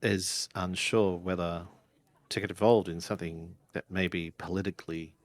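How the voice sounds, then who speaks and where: Male player as cautious samurai retainer for tabletop game, deep voice, hesitant and courteous. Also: little pitch movement, normal speed, dry recording, close to an everyday voice. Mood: cautious, polite. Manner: formal, hesitant, deferential. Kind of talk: in-character tabletop roleplay.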